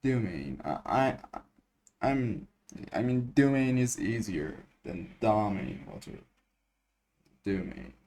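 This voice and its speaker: A man giving casual gameplay commentary as a streamer, talking in a halting, repetitive mutter. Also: fairly low pitch, expressive, a little mellow, slight background noise. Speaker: game streamer. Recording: game music behind the voice.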